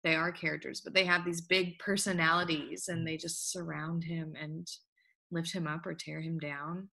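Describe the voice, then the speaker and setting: A young woman hosts a friendly interview for a theater's education program, speaking from her kitchen over a video call. She keeps things upbeat and encouraging. Her voice is bright and clear. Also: low voice, little pitch movement, moderate pace, rough recording. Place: home kitchen. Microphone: video call laptop mic.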